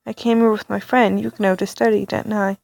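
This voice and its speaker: A woman reads a story game aloud into a close mic, reacting as she goes and often stumbling over words. Her pitch sits mid-range, and the sound is dry with no room echo. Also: brisk, gravelly. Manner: animated, reactive, stammering asides. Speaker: let's play reader.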